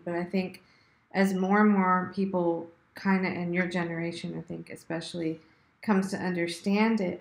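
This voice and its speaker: A woman's voice: on the low side, creaky, echoey room. A woman hosting an interview over a video call, conversational and affirming with frequent "mm-hmm"s. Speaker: interview host.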